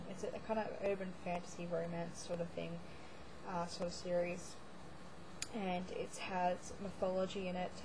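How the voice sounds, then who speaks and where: A woman's voice: medium pitch, background noise. A woman starting to lose her voice, rambling chattily through a reading wrap-up with lots of fillers and restarts. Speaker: book blogger. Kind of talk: casual monthly reading wrap-up vlog.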